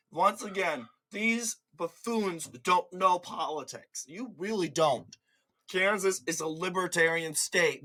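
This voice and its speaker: A congested man with a somewhat high, cracking voice from a cold talks casually over his screen. He is mocking and dismissive, stumbling and restarting words as he goes. His voice is close on a desk mic. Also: fairly bright tone, poor audio. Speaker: political commentary host. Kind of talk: casual screen-share commentary.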